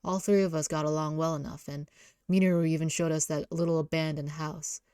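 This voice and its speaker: A woman voicing a gruff, guilt-ridden young man in a visual novel readalong, speaking in a weary, blunt way. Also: low voice, little pitch movement, brisk, warm tone, dry recording, clean studio sound, close to an everyday voice. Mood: somber, reflective.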